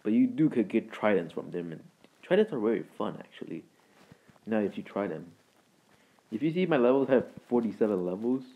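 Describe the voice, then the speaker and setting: A man hosting a gaming video with casual, rambling, halting commentary in informal words. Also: fairly low pitch, very animated, husky, phone-quality sound. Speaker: gaming video creator and host.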